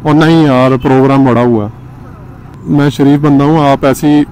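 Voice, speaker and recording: A man's voice: mid-range voice, fairly even intonation, normal speed, darkish tone, rough recording. A man, a vlogger chatting casually with friends while out on a ride. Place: outdoors on a moving vehicle. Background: faint traffic and vehicle noise.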